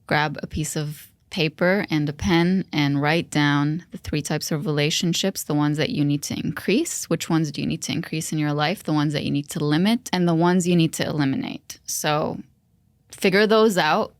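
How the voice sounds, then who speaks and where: Low-voiced woman, earnest podcast co-host. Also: normal speed, dry recording, clean studio sound. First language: Arabic. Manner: reflective, earnest storytelling. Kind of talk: casual podcast conversation.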